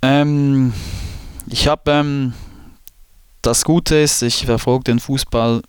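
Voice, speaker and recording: A man in his late twenties from Switzerland with a Swiss German accent, a guest talking casually in a podcast interview and pausing often with fillers and restarts. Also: medium pitch, fairly even intonation, smooth, no echo, studio quality. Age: 20s. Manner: hesitant, many fillers and restarts. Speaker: football goalkeeper guest.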